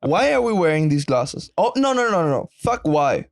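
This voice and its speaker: A man's voice: a little high, sing-song, moderate pace, no room sound, clean studio sound. A man co-hosting a podcast, teasing his friend and laughing often in casual chat. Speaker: podcast co-host. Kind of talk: casual banter.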